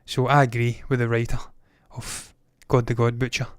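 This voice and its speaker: A man's voice: medium pitch, flat delivery, normal speed, clean tone, no echo, studio quality. A man in his thirties hosting a movie news show, talking casually, with rambling opinionated asides. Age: 30s.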